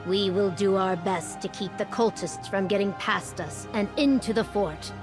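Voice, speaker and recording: A woman playing a solemn female military commander in a video game, formal and authoritative in a measured way. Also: medium pitch, over music, close to an everyday voice. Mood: grave, steady. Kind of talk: video game character acting.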